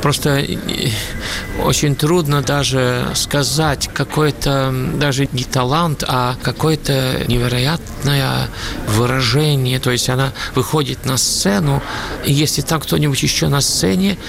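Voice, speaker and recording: Man from Sweden, opera singer being interviewed, gravelly creaky voice, halting and searching for words, noisy radio recording. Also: medium pitch, fairly even intonation. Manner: halting, searches for words, slightly non-native phrasing. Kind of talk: conversational interview answers.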